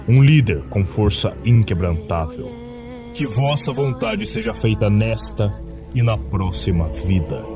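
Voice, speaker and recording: Casting: male narrator as grave cult leader, lowish voice, unhurried ritual delivery, music underneath. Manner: ceremonial, reverent, grandiose. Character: solemn male cult priest of ancient dark gods. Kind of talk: occult sermon in horror podcast.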